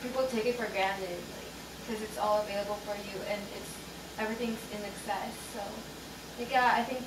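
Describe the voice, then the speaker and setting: A woman's voice: medium pitch, steady tone, brisk. A young woman from the Philippines sharing her story in a casual group discussion, talking with lots of fillers. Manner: chatty, many fillers. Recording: roomy, distant mic, noisy.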